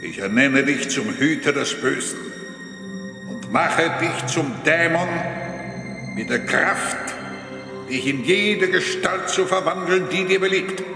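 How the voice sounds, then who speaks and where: An imperious demon lord from hell proclaims slowly in a gravelly voice. His grand, halting words echo with long, cavernous reverb in a horror audio drama. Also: on the high side. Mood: commanding. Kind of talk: horror radio drama acting. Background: prominent music.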